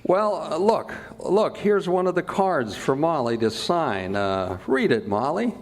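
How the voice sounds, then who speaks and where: Lively, chummy radio announcer played by a man. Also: on the high side, unhurried. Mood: breezy. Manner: breezy, chummy. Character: chummy, eager radio announcer pitching sponsor's wares. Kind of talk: old-time radio comedy acting.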